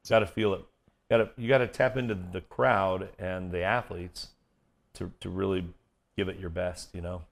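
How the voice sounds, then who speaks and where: A middle-aged American man with a booming baritone, chatting casually as an interview guest. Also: fairly even intonation, normal speed, gravelly. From Kansas City area, United States. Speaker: guest, event MC and voiceover artist. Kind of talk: casual interview chat with stories.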